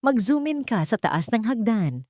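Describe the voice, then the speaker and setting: A woman's voice: on the high side, big pitch swings, measured pace, a little mellow, no room sound, clean studio sound, voice pushed up. A woman voicing an anguished, commanding billionaire father in a Tagalog audio story. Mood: tense, commanding. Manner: commanding, urgent. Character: anguished billionaire father barking urgent orders.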